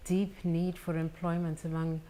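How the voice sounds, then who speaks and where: A woman speaking in a documentary interview about a youth jobs program, measured and earnest. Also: fairly low pitch, level tone, dark tone. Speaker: foundation representative.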